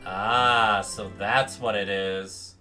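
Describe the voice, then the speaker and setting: A woman's voice: very deep voice, fairly even intonation, very slow, bright, forceful tone, faint music behind. A woman game streamer giving casual, informal, exclamatory reactions as she plays. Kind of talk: casual live reaction commentary.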